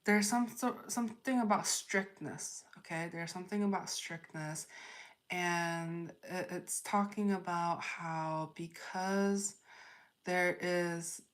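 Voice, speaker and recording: Female livestream tarot host: lowish voice, unhurried, halting spiritual chat, repeats phrases while thinking aloud. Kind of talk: spontaneous spiritual reading, chatting with live viewers.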